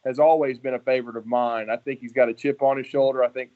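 A man's voice: medium pitch, level tone, fast talker. Man talking college football, slightly dull audio. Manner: conversational, opinionated, detailed. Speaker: sports podcast host.

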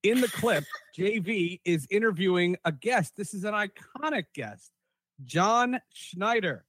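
A man's voice: high voice, lively intonation, measured pace, fairly bright tone, a little hiss or hum. A man hosting a podcast, moving between casual banter and scripted introductions. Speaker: podcast host.

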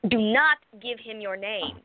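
A woman's voice: a little high, measured pace, very noisy, natural voice. A woman playing a protective, skeptical bodyguard, a proper military major, in a radio play. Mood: exasperated. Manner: skeptical, dryly deferential. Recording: thin, dry phone-like sound.

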